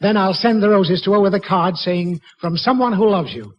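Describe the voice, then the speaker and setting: A man playing a blustering, grouchy middle-aged office boss in a 1940s radio comedy, gruff and exasperated. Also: high-pitched, normal speed. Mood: scheming. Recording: dull old radio recording, loud audience.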